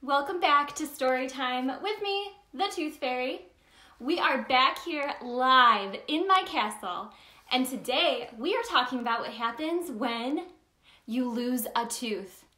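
A woman playing a cheerful Tooth Fairy who hosts children's story time, talking straight to young listeners in an animated, sing-song way in a roomy, echoing space. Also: sits high, slow, exaggerated voice. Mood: bubbly, chatty.

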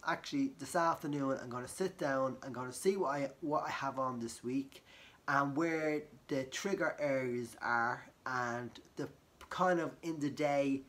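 A man talks casually to camera from his sofa. His voice is croaky from a cold, and he rambles, circling back with fillers and restarts. The sound is close and homely, as if recorded in a living room. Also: on the high side, fairly bright tone. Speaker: vlogger.

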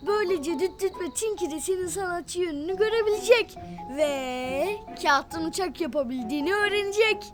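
Tiny, very high-pitched eager cartoon critter. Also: female voice, a little fast. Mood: excited, chatty. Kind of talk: children's cartoon voice acting. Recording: music behind the voice. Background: cartoon music.

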